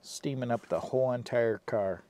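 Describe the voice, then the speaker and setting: A man from Michigan vlogging casually from inside a parked car, talking low-key and half to himself. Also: normal speed. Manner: low-key, quiet, half-muttered asides. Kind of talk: casual vlog commentary. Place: inside a parked car, cold and windy outside. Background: faint wind outside.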